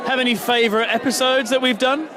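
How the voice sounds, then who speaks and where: A man hosting a travel podcast, asking guests quick upbeat questions at a party. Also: extremely high pitch, fairly even intonation. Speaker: podcast host. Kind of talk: party vox-pop interviews. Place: crowded party venue. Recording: noisy crowded party. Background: crowd chatter.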